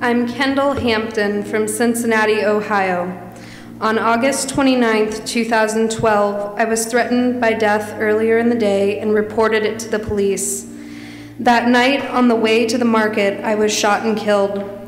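A woman's voice: fairly high pitch, fairly even intonation, unhurried, soft background music. Woman reading in reverberant church. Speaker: volunteer reader. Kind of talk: solemn reading aloud. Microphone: lectern microphone. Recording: echoey church, faint room noise.

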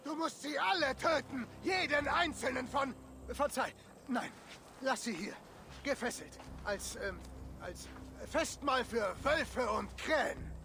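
An actor playing a nervous, boastful, stuttering monk who poses as a pompous lord in a video game. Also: male voice, extremely high pitch, slow pace, creaky, pushed and bright, a little hiss or hum, exaggerated voice. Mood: blustering, flustered. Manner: stutters when nervous, blustering. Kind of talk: dramatic video game dialogue.

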